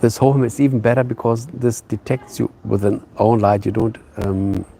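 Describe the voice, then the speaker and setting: Gravelly-voiced man chatting live. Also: fairly low pitch, flat delivery, mellow, a little hiss or hum. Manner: hesitant, halting, many fillers and restarts. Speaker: live streamer. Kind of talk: casual live stream chat. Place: outdoors. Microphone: phone on a tripod.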